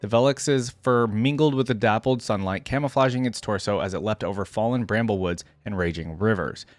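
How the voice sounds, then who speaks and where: A man's voice: lowish voice, fairly quick, dry recording. A man reading fantasy lore stories aloud and adding casual reaction commentary as he thinks aloud. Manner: casual, thinks aloud between read passages. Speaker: host of a fan reaction video.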